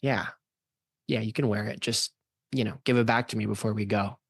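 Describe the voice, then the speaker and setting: A man's voice: lowish voice, fairly even intonation, brisk, gravelly, husky, no room sound, studio quality. A man playing an anxious, evasive young man in an amateur radio play, hesitant and defensive, often repeating himself. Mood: guarded.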